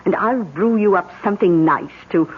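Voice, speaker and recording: A woman's voice: lowish voice, normal speed, natural voice. An actress playing a solicitous, coaxing, motherly English nurse with a British accent in a radio drama. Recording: tinny old radio broadcast, faint noise.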